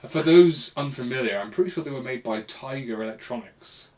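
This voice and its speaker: A man's voice: medium pitch, brisk, darkish tone. A man hosting a casual chat with a friend, explaining things in a loose, rambling way. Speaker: podcast host. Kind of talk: casual retro gaming chat between friends.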